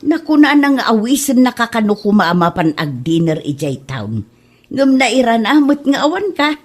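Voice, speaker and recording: A woman acts out a middle-aged village mother with a fairly high voice. She is apologetic and soft-hearted, performed in the manner of an Ilocano audio comedy drama. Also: very animated, moderate pace.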